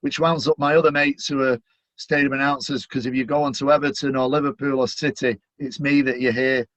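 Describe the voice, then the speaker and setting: A man's voice: medium pitch, level tone, a little fast, a bit bright, no echo. A middle-aged man with a Manchester accent, chatting upbeat as an interview guest, full of "you know" fillers. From Manchester, England. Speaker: guest, stadium announcer. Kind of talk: casual interview chat. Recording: dull, dry lo-fi audio.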